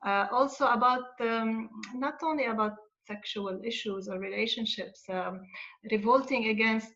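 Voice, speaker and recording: A woman's voice: on the high side, moderate pace, fairly bright tone, muffled recording. A woman from Lebanon, a children's author, talking earnestly on an online panel, with many hesitations. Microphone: video call.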